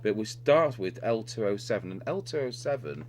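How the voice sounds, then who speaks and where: Low-voiced man, hummy noisy recording. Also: moderate pace. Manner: methodical, thinking aloud as he works. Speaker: electronics repair demonstrator, presenter. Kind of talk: unscripted hands-on technical walkthrough. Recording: clearly noisy recording with electrical hum. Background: steady hum and noise.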